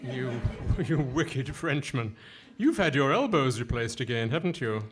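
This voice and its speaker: A man's voice: medium pitch, animated, unhurried, husky. A man playing a pompous, greedy, scheming villain in a theatrical radio comedy, exclaiming and hurling florid insults. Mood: haughty, scornful. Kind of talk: Goon Show style radio comedy acting. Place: live performance on stage in a hall.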